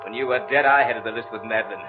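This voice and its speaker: Guy acting creepy jealous villain in old radio horror, slow taunting fake-nice, thin tinny sound w music. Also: fairly low pitch, natural voice. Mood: gloating, bitter. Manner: deliberate, taunting, falsely solicitous. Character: menacing, jealous, coldly vengeful man in the bayou. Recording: phone-like old radio recording, music behind.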